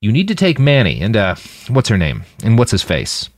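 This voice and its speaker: Man voicing an irreverent post-human brawler, wildly animated. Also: on the low side, normal speed, no echo, pitched above the performer's own voice, exaggerated voice. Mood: bossy, impatient. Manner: casual, profane, sardonic, offhand. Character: gruff irreverent blade-armed post-human male fighter, cheerfully callous. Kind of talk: fiction audiobook character voice.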